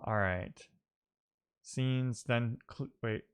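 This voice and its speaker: A man streaming live coding, talking casually and haltingly as he thinks aloud and mutters to himself while he works. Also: mid-range voice, smooth, faint music behind.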